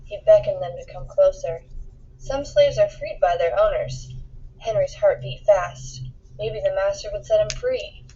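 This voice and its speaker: A woman reading a children's picture book aloud in an expressive storytelling style, acting out the dialogue with emphasis. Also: medium pitch, a little slow, breathy edge, lo-fi sound. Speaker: storybook reader.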